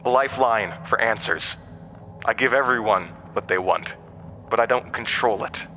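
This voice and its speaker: A man's voice: mid-range voice, moderate pace, pushed and bright, a little hiss or hum, close to an everyday voice. An actor playing a mysterious, mocking, weary undying male entity in a horror audio drama, speaking in theatrical, cryptic asides. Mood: exasperated, mocking. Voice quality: broken-windpipe voice, borrowed from another man.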